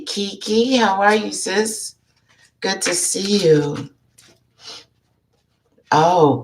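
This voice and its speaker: Woman host, low and unhurried, froggy from allergies, casual live-stream chatter with audible background noise. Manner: chatty, greets viewers by name, clears throat often. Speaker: psychic life coach hosting a live show.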